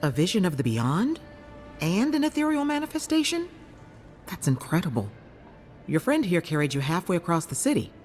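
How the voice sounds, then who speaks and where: High-voiced, lively clinic healer. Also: male voice, soft background music. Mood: relieved, intrigued. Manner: inquisitive, measured, advising. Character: keen, caring clinic healer, intrigued by strange souls. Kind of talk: video game character dialogue.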